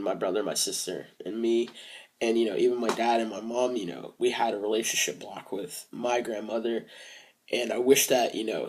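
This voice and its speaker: A young man recording a casual video diary, speaking hesitantly with many fillers and restarts, soft and reflective. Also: mid-range voice. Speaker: vlogger.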